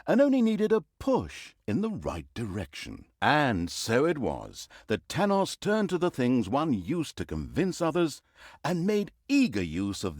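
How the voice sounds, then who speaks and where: An actor playing a sarcastic, pompous storyteller, narrating a fantasy video game with dry, mock-dramatic humor. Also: male voice, mid-range voice, no room sound. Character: sarcastic, pompous male storyteller of evil deeds. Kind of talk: theatrical scripted fantasy narration.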